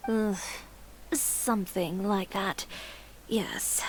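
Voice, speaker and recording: A woman's voice: mid-range voice, fairly even intonation, extremely slow, background noise. A woman voicing a poised, blunt young fashion designer in a video game, dry and matter-of-fact. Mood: dryly exasperated. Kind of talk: video game character dialogue.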